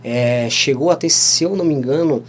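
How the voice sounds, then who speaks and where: A man's voice: medium pitch, normal speed, slight background noise. A Brazilian man in his thirties, a podcast guest telling stories in a rambling casual way, often adding "né?" and laughing along. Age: 30s. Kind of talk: casual storytelling interview.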